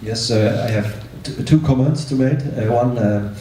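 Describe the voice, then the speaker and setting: Halting man in a very echoey hall. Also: medium pitch, mellow, a little hiss or hum. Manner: hesitant, halting with repeated words. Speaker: audience member commenting. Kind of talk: spontaneous discussion comment. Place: large echoey room at a meeting. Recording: echoey hall, distant microphone.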